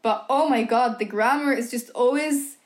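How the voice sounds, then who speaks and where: A woman's voice: fairly high pitch, moderate pace. Chatty young woman. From Belgium. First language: Dutch. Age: young adult. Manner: chatty, heavy use of "like" and "um". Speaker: podcast co-host. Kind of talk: casual chat.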